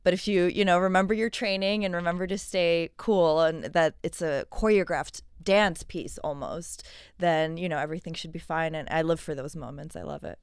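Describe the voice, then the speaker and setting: A woman's voice: fairly low pitch, steady tone, normal speed, clean tone, no room sound, studio quality. A woman from San Diego with an American accent, a stage actor talking conversationally in an interview. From San Diego, California.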